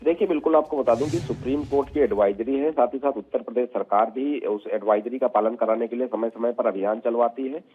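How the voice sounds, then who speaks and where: A man giving a live, unscripted news report as a field reporter, halting and repetitive with stock phrases. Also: medium pitch, slightly rough, faint music behind. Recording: tinny phone-like live link.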